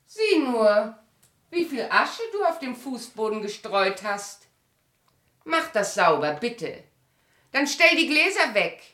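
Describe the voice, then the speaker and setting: An actress in a German radio drama playing a bitter yet tender aging wife of a homecoming hero. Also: female voice, on the high side, big pitch swings, slow, fairly bright tone, heavily acted. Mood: brisk, bossy.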